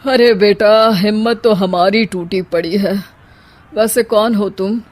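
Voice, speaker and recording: A woman's voice: medium pitch, fairly even intonation, takes it slowly, a little mellow, lightly acted. A woman voicing a middle-aged father who speaks bitterly and scolds.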